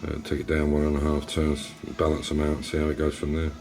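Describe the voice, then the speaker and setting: Deep-voiced Englishman in an echoey garage. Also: monotone, quick pace, darkish tone. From England. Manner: rambling, with frequent restarts and filler sounds. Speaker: classic car restorer and channel host. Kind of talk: casual workshop walkthrough. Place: garage workshop.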